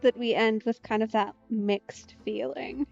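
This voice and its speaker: A woman's voice: fairly high pitch, fairly even intonation, measured pace, gravelly, darkish tone, dull audio, faint music behind. A woman gaming streamer giving casual, chatty, reflective let's play commentary.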